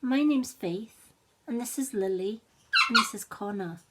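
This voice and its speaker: A woman's voice: fairly high pitch, expressive, unhurried, very noisy. A woman doing a polite young girl.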